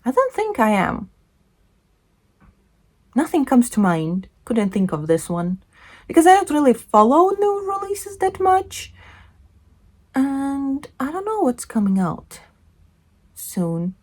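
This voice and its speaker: A woman's voice: sits high, big pitch swings, takes it slowly, long pauses, darkish tone. Woman chatting casually about books. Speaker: book reviewer and video host. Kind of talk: casual chat to camera, book talk.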